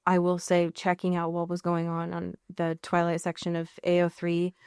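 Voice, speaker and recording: A woman's voice: fairly low pitch, monotone, moderate pace, darkish tone, clean tone, no echo. Playful American woman podcaster, dry close sound. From US Pacific Northwest. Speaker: podcast co-host. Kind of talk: casual comedic podcast chat.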